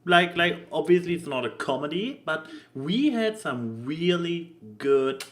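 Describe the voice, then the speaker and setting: A co-host with a medium-pitched voice speaks in loose, casual conversation. The phrasing is halting and informal, with short interjections and thoughts pieced together along the way. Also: animated. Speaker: podcast co-host. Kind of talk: casual chat.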